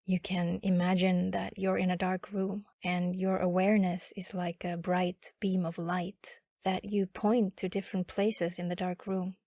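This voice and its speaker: A woman guiding a body scan meditation in a calm, instructional manner with long pauses. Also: lowish voice, no room sound, clean studio sound. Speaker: meditation guide.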